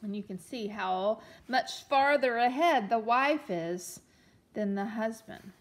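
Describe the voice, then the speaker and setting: A woman reading a storybook aloud to young children as a teacher, expressively, pausing to explain and ask questions. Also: a little high, a little hiss or hum. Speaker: elementary teacher reading a picture book aloud.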